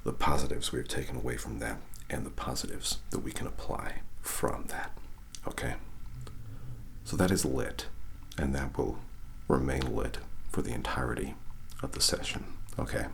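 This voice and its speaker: Very deep, gravelly whispering man. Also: fairly even intonation, a little hiss or hum. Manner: whispery, slow and soothing, frequent "okay?" check-ins. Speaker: ASMR reiki practitioner. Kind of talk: soft-spoken ASMR guided session.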